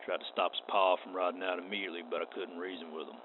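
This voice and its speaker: Man as a deep-voiced gruff frontier marshal. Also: little pitch movement, quick pace, close to an everyday voice. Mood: grim. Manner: terse, folksy lawman drawl. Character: gruff, wary, stern male frontier marshal. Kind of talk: radio play space western acting. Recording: tinny band-limited audio with clear noise.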